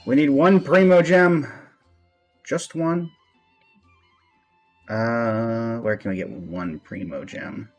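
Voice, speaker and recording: A man's voice: on the low side, husky. A man giving casual live-stream game commentary as the host, with a dry, resigned way of talking. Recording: music playing behind the voice. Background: background music.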